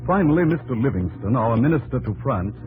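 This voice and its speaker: A young aide played by a man narrates with lively intonation and a respectful male voice. It is 1950s radio drama storytelling, with music clearly behind him. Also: mid-range voice, fairly quick, phone-quality sound, lightly acted. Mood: narrating. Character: dutiful young male presidential secretary and narrator.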